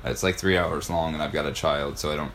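Man, deep voice, podcast host, casual chat, rambling, enthusiastic, swears freely. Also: steady tone, a little fast, fairly bright tone, slight background noise.

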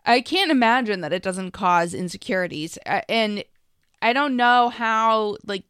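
A woman's voice: mid-range voice, animated, unhurried, a bit bright, clean tone, dry recording, clean studio sound. A woman co-hosting a casual chat, thinking aloud and hedging as she talks. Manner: musing, hedging with fillers. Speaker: podcast co-host.

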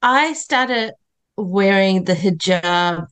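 A middle-aged woman, a soft-spoken podcast guest who talks in a hesitant, reflective way. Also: mid-range voice, lively intonation, smooth. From grew up in New Zealand, of Fijian Indian background. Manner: hesitant, reflective, with many pauses.

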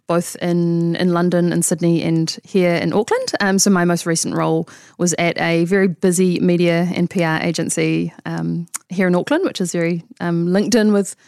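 A woman's voice: fairly low pitch, fairly even intonation, moderate pace, few pauses, a little mellow, no room sound, clean studio sound. A woman in her thirties from New Zealand with a New Zealand accent, a coach talking casually and reflectively in an interview, with many fillers. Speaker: guest coach.

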